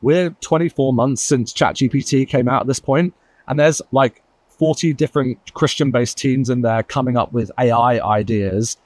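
A man speaking as a guest on a roundtable panel, talking quickly and emphatically with lots of asides. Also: medium pitch, darkish tone, dry recording. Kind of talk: panel discussion.

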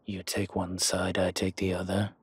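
An actor playing a commanding, teasing, protective dragon mob boss in an intimate audio drama, with a husky, breathy voice and deliberate delivery. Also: male voice, low voice, completely flat delivery, no echo. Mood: playful, relaxed.

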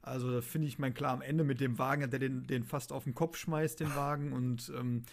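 A man chatting casually as a podcast co-host, haltingly repeating words as he explains. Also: medium pitch, steady tone, creaky.

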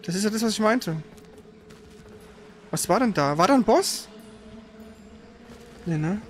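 A man's voice: high-pitched, expressive, measured pace, a little hiss or hum. A man hosting a gaming livestream with casual live commentary, rambling and often stumbling into self-corrections.

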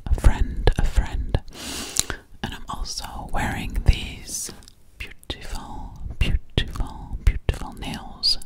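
A young woman whispering ASMR up close to the mic, hushed, often repeating words. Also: slow pace. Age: young adult. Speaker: ASMR creator. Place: small bedroom, indoors. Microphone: close mic near the camera, touched at times.

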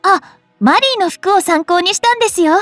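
A woman's voice: very high-pitched, moderate pace, a bit bright, dry recording. A woman voicing a cheerful, devout young maiden for an anime mobile game, speaking politely and earnestly. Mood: cheerful, sweet. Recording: studio voice over game music. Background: game music.

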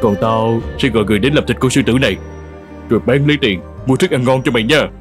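A man's voice: mid-range voice, fast, faint music behind, close to an everyday voice. Man acting a theatrical, exclamatory horse owner. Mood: pleased. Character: old-fashioned male farmer, horse's master, astonished then remorseful. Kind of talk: dubbed animated fairy tale acting.

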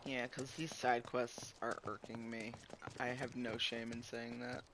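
A man streaming a video game, chatting casually and slowly, sometimes mildly frustrated with the game. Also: mid-range voice, little pitch movement, a bit bright, slight background noise. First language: English. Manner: slow, musing, mildly frustrated. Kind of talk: casual gameplay commentary.

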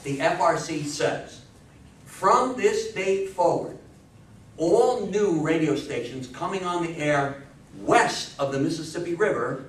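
A very reverberant recording from a distant microphone, with faint noise, of a man in his sixties lecturing. His voice sits a little high for a man. He speaks emphatically and in a folksy style, drawing his listeners in with questions. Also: expressive, takes it slowly. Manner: emphatic, folksy, prompting the audience. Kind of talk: animated public history lecture with audience questions. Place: small meeting room with seated audience. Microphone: distant camera microphone.

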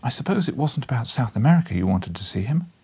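A man voicing a small, vivacious, anxious wife in an audiobook, giving urgent, brisk warnings. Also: medium pitch, very animated, darkish tone, husky, full character voice.

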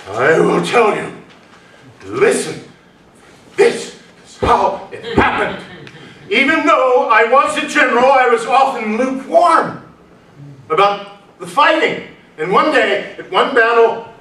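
A man's voice: very high voice, fairly bright tone, full character voice. An older man playing a troubled, pious recluse in a staged play reading, formal and declamatory in manner. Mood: grandiose. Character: troubled, pious, reclusive suspect, brooding and grandiose. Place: small indoor venue, before a seated audience. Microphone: far camera. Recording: roomy distant stage recording with noise.

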